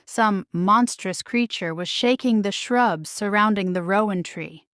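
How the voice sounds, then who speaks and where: A woman's voice: medium pitch, unhurried, fairly bright tone, smooth, no echo, studio quality. A woman narrating a children's bedtime story from a script as a storybook reader.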